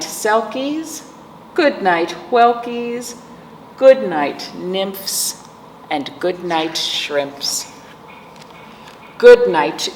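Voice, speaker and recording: A woman hosting a storytime for children, reading aloud and chatting in a gentle, playful manner to young listeners. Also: fairly low pitch. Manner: gentle, playful, addressing young viewers as friends. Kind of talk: read-aloud and chat for children. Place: indoors, room with aquamarine wall. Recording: echoey room with clear background noise.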